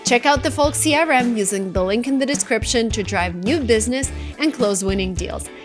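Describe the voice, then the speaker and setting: A woman's voice: a little high, fairly quick, over music. A woman, giving casual, emphatic, conversational advice as a video creator. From Russia. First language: Russian. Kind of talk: casual talking-head advice vlog.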